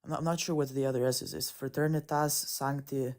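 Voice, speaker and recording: A man in his early twenties chatting casually as a caller, hesitant and halting in his delivery. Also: medium pitch, fairly even intonation, a little mellow. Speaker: guest caller. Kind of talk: casual chat.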